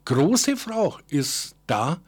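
An older man with a slightly raised pitch speaks off the cuff in an informal monologue. He searches for words, wanders into asides and corrects himself mid-sentence. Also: very animated, husky. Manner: halting, digressive, thinks aloud and corrects himself. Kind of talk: informal spoken tribute, unscripted monologue.

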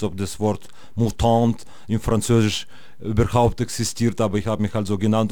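A man's voice: on the low side, a little mellow, no room sound. Man, rambling interview guest. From Russia. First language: Russian. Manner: rambling, many fillers and restarts. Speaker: guest musician. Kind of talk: casual interview.

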